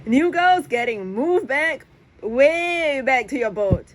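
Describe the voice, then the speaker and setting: A woman's voice: sits high, animated, takes it slowly, slight background noise, pitched above the performer's own voice. A woman voicing a playful, teasing schoolboy best friend in a storybook, with slangy, exclamatory talk.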